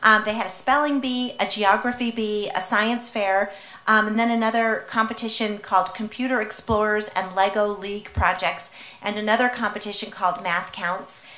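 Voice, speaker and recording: Councilwoman, mid-pitched, earnest and encouraging, echoey chamber. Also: fairly even intonation, normal speed, bright, forceful tone. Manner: earnest, encouraging, speaks up with conviction. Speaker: city councilmember. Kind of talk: council meeting remarks.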